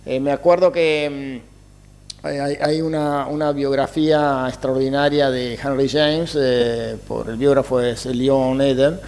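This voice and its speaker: A man with an Argentine accent, a writer and teacher, answering interview questions thoughtfully, with frequent hesitations and soft chuckles. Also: medium pitch, little pitch movement, unhurried, clear, resonant tone. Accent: Argentine Spanish.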